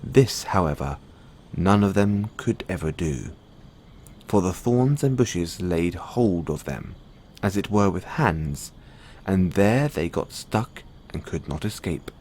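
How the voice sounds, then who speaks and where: A man with a low-pitched voice reads a bedtime fairy tale. His delivery is measured storybook narration, like a story told for someone falling asleep. Clear background noise runs behind the recording. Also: animated, a little mellow. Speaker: storyteller playing a boyfriend in audio roleplay.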